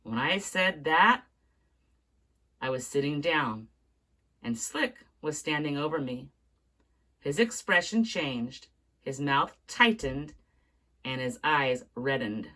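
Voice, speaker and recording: A woman reading a book aloud in an expressive storytelling manner. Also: sits low, slow pace, pauses often, poor audio. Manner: expressive read-aloud, carries on through interruptions. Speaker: book reader.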